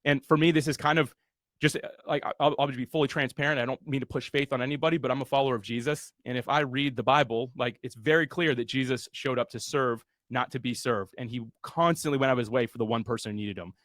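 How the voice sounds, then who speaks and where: A man's voice: mid-range voice, steady tone, quick pace, fairly bright tone, no room sound, studio quality. A man hosting a webinar launch party, speaking earnestly and motivationally with casual fillers. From USA. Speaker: host.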